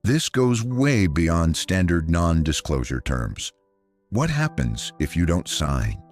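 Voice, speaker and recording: A man's voice: low voice, big pitch swings, takes it slowly, soft background music, full character voice. A man voicing a protective adult daughter, a lawyer who speaks directly and indignantly, in a narrated story. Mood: wary, hard. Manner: assertive, direct.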